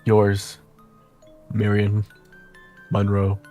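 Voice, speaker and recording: A man's voice: on the low side, completely flat delivery, extremely slow, mellow, soft background music. A man voicing a chatty, affectionate young female letter writer in a visual novel, conversational and self-deprecating. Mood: chatty, sheepish.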